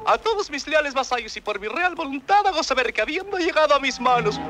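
An actor playing a fussy, pompous, doddering grand duke in a children's audio fairy tale, speaking in flowery phrases with faltering hesitations. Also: male voice, extremely high pitch, animated, fast. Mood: pompous, official. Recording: music behind the voice.

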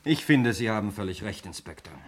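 An actor playing a self-assured, blunt stepson of a murder victim in a 1960s German radio play, arguing assertively. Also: male voice, fairly low pitch, animated, a little fast, lightly acted.

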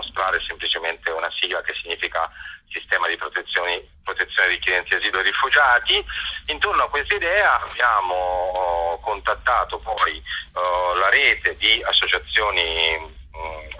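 A man's voice: low voice, sharp tone, husky, low-quality recording. A man presenting an event as an interview guest, often drawing out words as he searches for the next one. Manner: halting, searching for words with drawn-out words. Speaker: festival organizer and interview guest.

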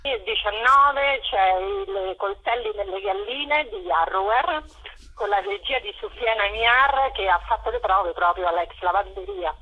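A woman's voice: medium pitch, a little slow, slightly rough. A woman guest on a radio interview, informally presenting a programme as its organiser over the telephone. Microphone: telephone call. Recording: muffled, lo-fi telephone line.

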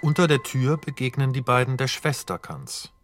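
Measured male narrator, medium pitch. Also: sing-song, soft background music. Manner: measured, factual, neutral. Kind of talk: scripted narration of stage directions.

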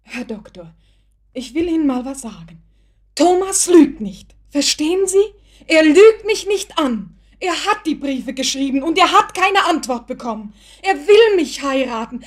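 Young woman in love for a radio play, highish voice, earnest, polite but defiant. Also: lively intonation, measured pace. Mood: indignant. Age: young adult. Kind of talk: German radio play acting.

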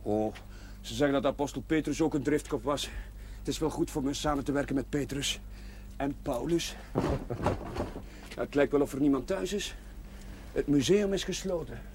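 An actor as a higher-voiced, gentle curate. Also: male voice, normal speed, lightly acted. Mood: amiable, wry. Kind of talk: naturalistic 1970s television drama acting. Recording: noisy old television soundtrack.

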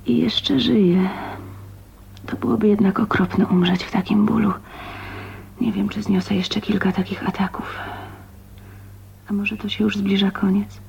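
A lonely young dreamer murmurs an intimate soliloquy in a radio play. Her voice is breathy and creaky, on a dull recording with a faint hum. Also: medium pitch, steady tone, measured pace, pauses often, darkish tone. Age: young adult. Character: lonely, dreamy young spinster musing to herself. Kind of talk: radio drama monologue.

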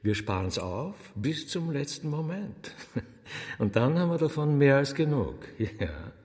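Sly austrian demon magician for radio play, husky, rambly n courtly, swoopy pitch, big echoey hall. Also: male voice, big pitch swings, a little mellow. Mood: sly, amused. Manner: rambling, chuckling, theatrically courteous, drawn-out words. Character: sly, chatty demon magician, former choirmaster, showman servant. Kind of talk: radio drama acting. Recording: long hall reverb.

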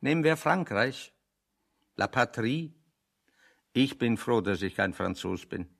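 An actor playing a dry, opinionated man in a German radio play, reasoning with deadpan, sardonic deliberation. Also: medium pitch, slow pace, gravelly, full character voice.

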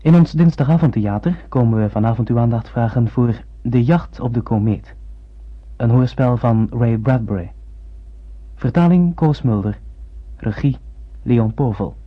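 A man's voice: mid-range voice, unhurried, long pauses, husky. A man working as a radio announcer, reading a formal scripted introduction to a broadcast. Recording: dull lo-fi old radio broadcast.

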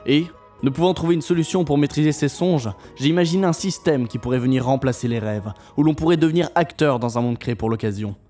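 A man's voice: mid-range voice, mellow, faint music behind, lightly acted. An actor playing a composed, courteous male game inventor answering a radio interview in formal phrasing, in an audio drama. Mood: composed, explanatory. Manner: polite, measured formal phrasing.

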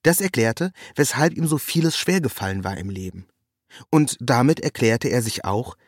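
A man's voice: medium pitch, a little mellow, no room sound. Male narrator reading a dry, close-up story. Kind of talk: scripted first-person literary narration.

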